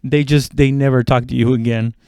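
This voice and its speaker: Male comic podcaster. Smooth, clean voice, dry close sound, informal halting solo ramble with loose swearing. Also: mid-range voice, fairly even intonation, darkish tone, no room sound. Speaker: stand-up comedian hosting own podcast. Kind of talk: casual rambling solo chat.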